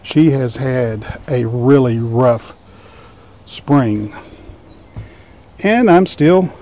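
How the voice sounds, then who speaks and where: A man with a mid-pitched, everyday voice talks his viewers through his backyard plants in an unhurried, folksy way. He is outdoors on a deck, holding the camera himself, so the sound is that of a handheld camera microphone in open air. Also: animated, dark tone, slight background noise. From Tennessee, United States. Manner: folksy, unhurried, explaining as he films. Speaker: gardening vlogger. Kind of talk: casual garden tour narration. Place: outdoors on a home deck and garden.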